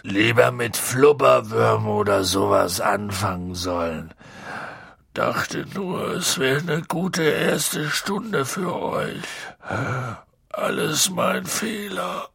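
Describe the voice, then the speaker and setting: A huge storybook gamekeeper, tipsy and full of feeling. The voice is gravelly and rough, with slurred, folksy speech that drops words. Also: male voice, mid-range voice, animated, slow, a bit bright, no echo, studio quality, heavily acted. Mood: dejected. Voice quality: gruff, slurred. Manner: colloquial, dropping words, swinging into bellows. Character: huge, tipsy, emotional male gamekeeper, gruff and loving.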